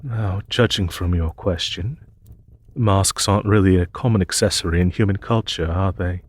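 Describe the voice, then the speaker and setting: A man playing an ancient, courteous masked demon emperor in an audio roleplay, telling his tale in a formal, measured, gentle way. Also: deep voice. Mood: courteous, gently amused. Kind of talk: scripted audio roleplay monologue.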